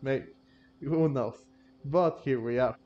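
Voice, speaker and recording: Halting man doing casual game commentary. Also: medium pitch, darkish tone. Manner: halting, searching for words. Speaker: gaming video host. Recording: dull, lo-fi microphone sound. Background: game music.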